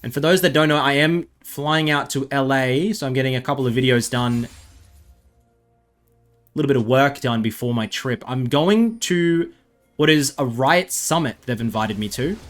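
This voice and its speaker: A man's voice: medium pitch, moderate pace, faint music behind. An Australian man giving rambling, self-mocking commentary over gameplay, full of chatty asides. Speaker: gaming video creator.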